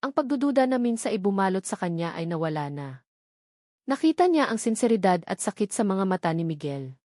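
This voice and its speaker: A woman's voice: mid-range voice, very animated, brisk, darkish tone, dry recording, studio quality. A woman reading scripted dramatic story narration as the storyteller.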